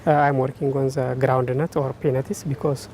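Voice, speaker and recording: A man, a trainee scientist speaking haltingly in an interview with many pauses and fillers. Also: medium pitch, flat delivery, warm tone, a little hiss or hum. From Ethiopia. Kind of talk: interview testimonial.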